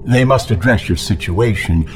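An actor playing a creepy, menacing male town elder who speaks in a slow, insinuating way. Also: on the low side, slight background noise, close to an everyday voice.

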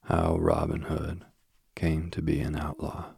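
A man hosting a sleep podcast and reading a bedtime story softly, slowly and soothingly, while he is losing his voice. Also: a bass voice, big pitch swings, gravelly, breathy edge, dry recording. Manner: slow, gentle and soothing. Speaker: sleep podcast host and reader. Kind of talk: soft bedtime story narration. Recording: faint music behind.

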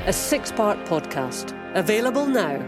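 A woman's voice: mid-range voice, very animated, unhurried. Woman narrating a trailer over music. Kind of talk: scripted narration.

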